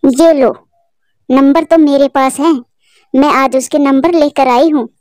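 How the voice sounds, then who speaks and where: A woman's voice: fairly even intonation, a little slow, a little mellow, no room sound, studio quality. A woman doing a bossy scheming crow wife. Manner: shrill, bossy.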